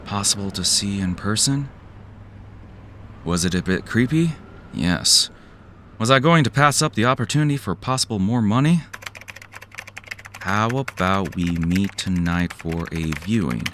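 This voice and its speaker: Low-voiced cynical office worker. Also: male voice, unhurried, a bit bright, slight background noise. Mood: wary. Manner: sardonic, profane, weary. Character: jaded young male office worker, cynical narrator. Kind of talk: first-person audio drama narration.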